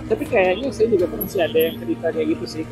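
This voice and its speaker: A man's voice: high voice, very fast, over loud music. A man in casual chat as a passenger on a motorcycle, agreeable and full of short acknowledgements, speaking over an intercom. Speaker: passenger, a doctor. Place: outdoors, riding pillion on a motorcycle. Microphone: intercom headset.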